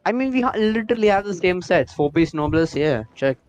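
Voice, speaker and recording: A man's voice: sits high, expressive, a little fast, darkish tone, soft background music. A man giving casual gameplay commentary on a livestream. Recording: dull lo-fi voice chat audio.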